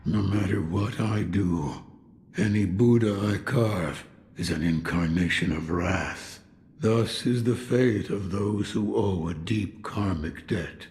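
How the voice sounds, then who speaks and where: An actor playing a weary, brooding old sculptor of Buddhas in a video game, speaking in a deliberate, cryptic way. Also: male voice, low voice, darkish tone. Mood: grave, brooding. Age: older. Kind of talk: scripted video game dialogue.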